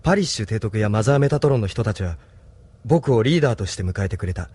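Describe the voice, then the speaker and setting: A man playing a thoughtful, homesick young resistance leader in an audio drama, soft-spoken and reflective. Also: lowish voice, lively intonation, quick pace, slightly rough, dry recording. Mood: earnest, doubtful. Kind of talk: anime-style audio drama acting.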